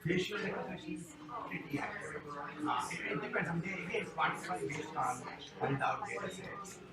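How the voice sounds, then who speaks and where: A man's voice: fairly high pitch, animated, brisk, over a noisy crowd. A man with an Indian accent giving a conversational public lecture, full of "you know" and "um" fillers. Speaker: invited lecturer. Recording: echoey hall with faint noise.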